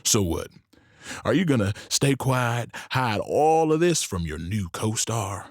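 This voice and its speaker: A man plays a protective, shrewd saloon boss in an audio drama. He speaks in a measured, knowing way with a very mobile pitch, close and dry. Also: lively intonation, dry recording, lightly acted. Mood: stern. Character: shrewd, protective Old West saloon owner.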